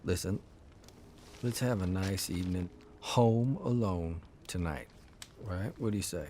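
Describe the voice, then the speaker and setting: An actor playing a fussy, long-suffering writer husband, dry and exasperated, in a naturalistic period radio drama. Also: male voice, low voice, a little slow, warm tone, a little hiss or hum. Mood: weary, conciliatory.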